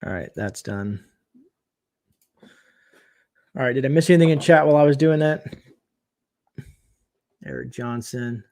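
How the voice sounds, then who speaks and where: A man hosting a casual late-night livestream, chatting and reading viewer comments aloud, his voice getting hoarse. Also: mid-range voice, animated, a little slow, pauses often, a little mellow. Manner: chatty, reads viewer comments aloud, frequent fillers and laughs. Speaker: livestream host.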